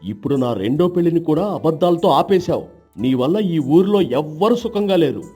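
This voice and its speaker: A man playing an emphatic, admonishing village husband in an acted moral story, with a husky voice. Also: a little high, lively intonation, normal speed, warm tone, faint music behind. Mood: angry. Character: indignant village husband, teasing, morally lecturing.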